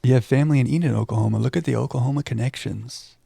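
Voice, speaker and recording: A man streaming a video game in a relaxed voice with a creaky edge. He talks casually to his viewers and reads text aloud, close and dry on the mic, poking fun at himself as he goes. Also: medium pitch, racing, no room sound. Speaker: livestream game host.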